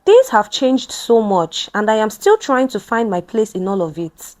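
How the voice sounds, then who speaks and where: A woman's voice: medium pitch, big pitch swings, normal speed, darkish tone, close to an everyday voice. A woman voicing an earnest, thoughtful young husband and father in a storybook-style narration. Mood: hesitant, downcast.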